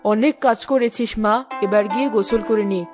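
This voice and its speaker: A fairly high voice of a caring, chiding mother played by a woman in a cartoon family tale. Music plays clearly underneath. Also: a little fast, natural voice. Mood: caring, busy. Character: caring, chiding mother of two grown daughters. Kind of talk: cartoon story voice acting. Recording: music behind the voice.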